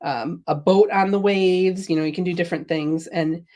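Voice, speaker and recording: Middle-aged woman, lowish voice, chatty craft host, slightly sniffly from a cold. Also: steady tone, moderate pace, mellow. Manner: chatty, laughs often, reads viewer comments. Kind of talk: casual product demo chat.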